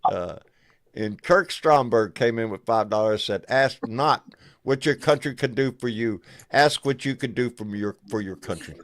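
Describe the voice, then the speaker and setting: A man's voice: medium pitch, unhurried, no room sound, studio quality. Folksy man hosting a live stream. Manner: folksy, drawling, warm thanks to donors. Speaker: host. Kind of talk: casual live-stream chat.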